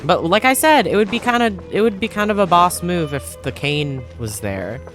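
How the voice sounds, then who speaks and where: A man's voice: high-pitched, animated, moderate pace, music in the background. A man hosting a let's-play with casual, slangy, offhand commentary. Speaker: gaming host.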